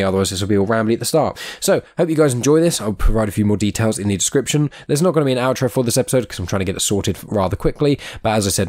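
A man's voice: lowish voice, darkish tone. A British man in his twenties hosting a casual film review podcast, rambling and correcting himself as he goes. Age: 20s. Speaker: podcast host.

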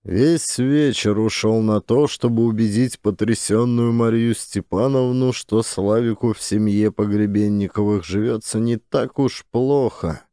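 A man's voice: lowish voice, measured pace, hardly any pauses, dark tone, no room sound, clean studio sound. A man reading scripted narration for a satirical family comedy audiobook. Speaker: narrator, actor.

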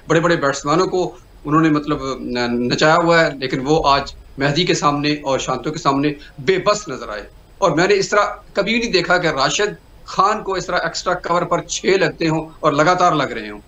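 A man giving cricket analysis over a video call, speaking in a measured way with hesitant fillers. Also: a little high, a bit bright. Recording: roomy video call audio with faint hum.

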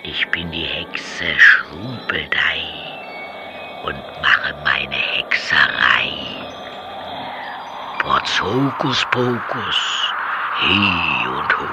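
A woman playing a scolding, crafty old witch mother in a children's audio play, with a raspy, cackling delivery and theatrical exclamations. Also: a bass voice, expressive, very slow, music in the background, heavily acted. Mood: incantatory.

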